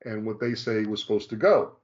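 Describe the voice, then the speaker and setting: A man's voice: lowish voice, fairly quick, darkish tone, muffled recording. A man appearing as a rabbi guest, explaining emphatically and repeating his key phrases. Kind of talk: explanatory discussion.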